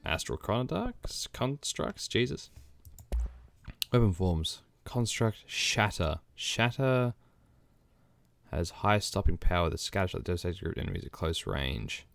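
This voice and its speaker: Hoarse man chatting over a game. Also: low voice, very animated, a little slow, no echo. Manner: chatty, thinking out loud. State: hoarse from a cold. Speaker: gameplay channel host. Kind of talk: casual let's-play commentary.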